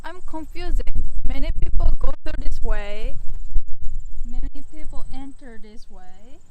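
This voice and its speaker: A woman's voice: high-pitched, animated, measured pace, heavy background noise. A woman whose first language is Japanese, chatting to viewers in a casual exploring vlog while walking outdoors on a camera mic. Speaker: urban explorer vlogger. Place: outdoors at an old fort, walking. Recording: background music over poor outdoor audio.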